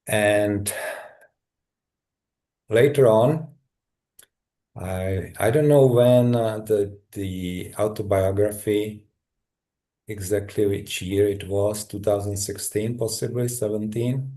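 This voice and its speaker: A middle-aged man from Czechoslovakia with a Czech accent, a guest telling his story in a halting, thoughtful way with many restarts. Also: lowish voice, steady tone, warm tone. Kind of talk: personal story in a conversation.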